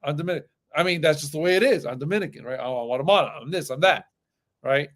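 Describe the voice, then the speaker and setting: A man's voice: a little high, fast talker, fairly bright tone. A man in a casual discussion show who speaks earnestly, repeats phrases and keeps checking in with "right?". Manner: earnest, repeats himself, many "right?" tags.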